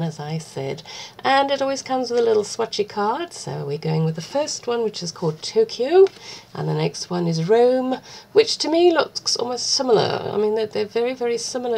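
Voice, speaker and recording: A woman, husky from a cold, chatting casually through a craft tutorial with rambling, self-deprecating asides. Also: mid-range voice, darkish tone, slight background noise. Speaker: art vlogger.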